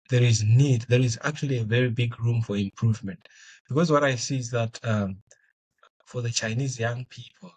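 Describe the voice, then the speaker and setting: Man, young adult, from Zimbabwe, native Shona speaker, husky, interview guest, hesitant restarts. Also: mid-range voice, poor audio. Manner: thoughtful, many fillers and restarts. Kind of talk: conversational interview answers.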